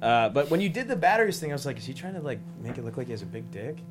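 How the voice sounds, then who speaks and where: A man's voice: medium pitch, big pitch swings, racing, over loud music. A man speaking as a panelist in a casual discussion, giving slangy, anecdotal feedback peppered with filler words. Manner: slangy, anecdotal, says like often.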